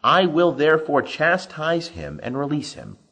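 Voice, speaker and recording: A man's voice: on the high side, sing-song, unhurried, a bit bright, pitched above the performer's own voice, full character voice. A man voicing a stern Roman governor who protests emphatically in an audio scripture reading. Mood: firm, reasoning.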